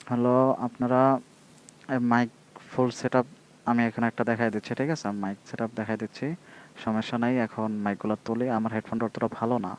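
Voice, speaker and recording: Fast, flat-toned Bangladeshi man pitching products. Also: poor audio. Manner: informal, repetitive, ends phrases with checking tag questions. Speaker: online seller presenting a product demo. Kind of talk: casual sales pitch and tutorial.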